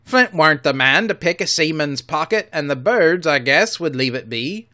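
A man voicing a shrewd, suspicious pirate sailor who speaks loudly and questioningly. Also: a little high, lively intonation, normal speed.

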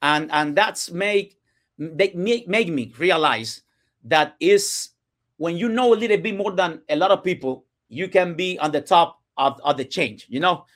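A man from Cuba speaks English with a Cuban Spanish accent in a fairly high voice. He talks earnestly as a podcast guest, restarting his sentences and bending the grammar of a second language. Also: lively intonation, measured pace, a bit bright. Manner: earnest, with frequent restarts and non-native grammar. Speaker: guest, real estate entrepreneur. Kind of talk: casual podcast interview. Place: podcast studio.

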